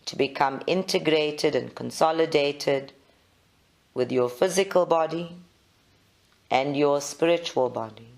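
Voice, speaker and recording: A meditation leader, giving measured guided instructions in short phrases with long pauses. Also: medium pitch, creaky.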